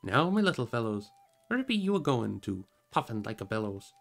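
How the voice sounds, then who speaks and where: A man's voice: medium pitch, big pitch swings, moderate pace, gravelly, fairly bright tone. A man voicing a jolly, carefree old forest dweller from a fantasy audiobook, with a bouncy, sing-song, rhyming delivery. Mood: hearty, bustling. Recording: music behind the voice.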